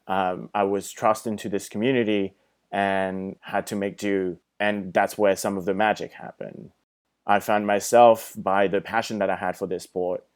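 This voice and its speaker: A man with a French accent and a very low voice tells a personal story on a podcast. He speaks English as a second language and sounds thoughtful and earnest, with plenty of ums and small restarts along the way. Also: a little mellow. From France. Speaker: podcast guest. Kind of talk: storytelling in a casual podcast chat.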